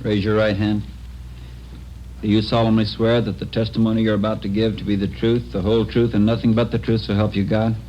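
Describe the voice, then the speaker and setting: An actor playing an impassive American deputy coroner, speaking formally and procedurally in a 1950s radio drama. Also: male voice, fairly low pitch, level tone, fairly quick, mellow, natural voice. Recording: dull, faintly noisy vintage radio recording.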